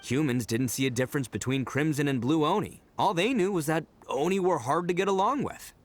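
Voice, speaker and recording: A man's voice: on the high side, a little fast, close to an everyday voice. An actor playing a boisterous, proud male oni gang leader in a video game, who laughs often and speaks earnestly about pride. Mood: storytelling.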